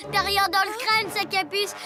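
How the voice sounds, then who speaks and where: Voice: extremely high pitch, fairly even intonation, measured pace. An actor playing a boastful, taunting villain in a children's cartoon. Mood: gloating. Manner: mocking, boastful. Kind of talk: animated children's series dubbing. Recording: loud music behind the voice.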